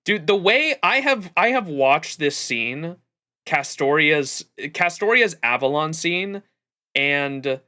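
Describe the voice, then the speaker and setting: Male streamer, slightly high bright forceful voice, effusive, sweary, casual commentary. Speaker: livestream host. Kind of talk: casual livestream reaction commentary.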